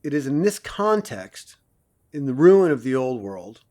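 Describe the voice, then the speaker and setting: A man's voice: medium pitch, sing-song, measured pace, darkish tone. A man playing a self-assured professor in an audio drama, lecturing didactically and theatrically as he prompts his class. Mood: didactic, assured. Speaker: university lecturer.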